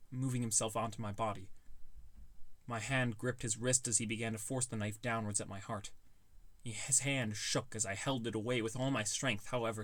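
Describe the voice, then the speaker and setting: A man's voice: fairly low pitch, a bit bright. A man reading a scripted first-person fantasy story as an audiobook narrator.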